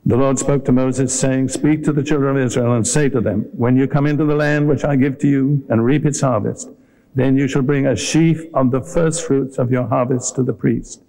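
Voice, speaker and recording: A man preaching a sermon to a congregation, speaking earnestly and deliberately and addressing his listeners directly. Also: medium pitch, fairly even intonation, dark tone, rough recording. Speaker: visiting preacher. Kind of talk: sermon with Bible teaching and altar call. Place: live before a congregation at a meeting.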